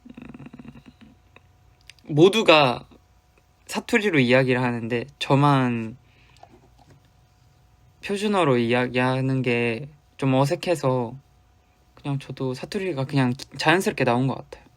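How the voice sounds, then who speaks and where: A man's voice: mid-range voice, expressive, a little slow, pauses often. A young man from Busan with a light Busan accent, chatting casually with fans on a livestream and answering their comments. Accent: Busan Gyeongsang Korean, light. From Busan, South Korea. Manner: chatty, loose and playful, with sudden drops to quiet murmurs. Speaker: idol hosting a fan livestream.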